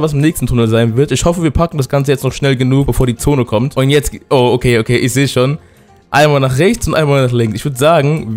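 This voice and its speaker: A hoarse man with a cold, giving excited let's-play commentary and often breaking into loud outbursts. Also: on the high side. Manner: excitable, loud outbursts, keeps addressing viewers. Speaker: gaming YouTuber.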